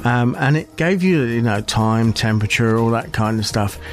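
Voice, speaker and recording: A man's voice: medium pitch, expressive, normal speed. Brief: British male podcast co-host, easygoing chat, laughs a lot, faint music behind. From United Kingdom. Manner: chatty, laughs often, many restarts. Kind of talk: casual chat.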